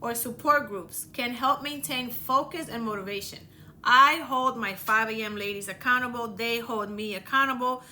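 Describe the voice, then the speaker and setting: A woman's voice: a little high, moderate pace, pushed and bright, slight background noise. A woman from the Dominican Republic with a Dominican accent, hosting a motivational podcast and coaching listeners earnestly, often repeating words for emphasis. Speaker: podcast host.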